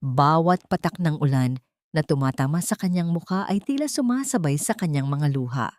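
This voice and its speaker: Low-voiced woman narrating a drama. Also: expressive, normal speed, a little mellow, dry recording, clean studio sound. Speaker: storyteller, narrator.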